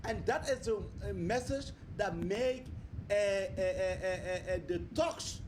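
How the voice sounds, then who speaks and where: A man with an extremely high-pitched voice hosts a political talk show. He speaks haltingly, repeating words as he pushes into the discussion. Faint noise sits on the connection.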